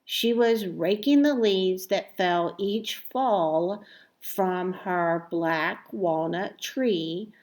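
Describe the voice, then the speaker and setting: A woman reading a children's story aloud expressively, with deliberate phrasing and emphatic stressed words. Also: medium pitch. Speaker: storybook reader.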